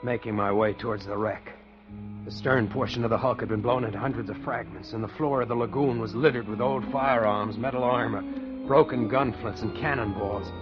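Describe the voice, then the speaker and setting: Man acting spooked diver in an old radio thriller, tense, mid-pitched, muffled with music under him. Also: steady tone, fairly quick, close to an everyday voice. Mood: grim, haunted. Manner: dramatic, tense delivery. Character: haunted, fearful male treasure diver telling his story. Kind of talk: old-time radio drama acting and narration. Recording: dull vintage radio recording with music behind.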